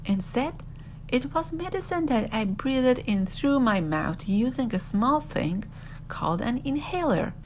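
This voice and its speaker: A woman reading a first-person children's story aloud in an expressive, animated storytelling manner. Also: on the high side, a little slow, a little hiss or hum, poor audio.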